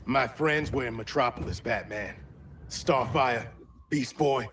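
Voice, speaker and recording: An actor playing an aggrieved, resolute young cyborg superhero in a dramatic video game cut scene. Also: male voice, medium pitch, a little slow, fairly bright tone. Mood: bitter resolve. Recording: game audio, faint ambient noise.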